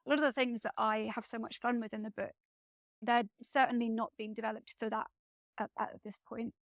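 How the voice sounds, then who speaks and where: Female author guest, highish voice, conversational, reworks sentences mid-thought, dry close sound. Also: level tone, fairly quick, no echo. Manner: hesitant starts, restating phrases as she reasons. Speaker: guest author being interviewed. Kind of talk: conversational interview, thinking aloud.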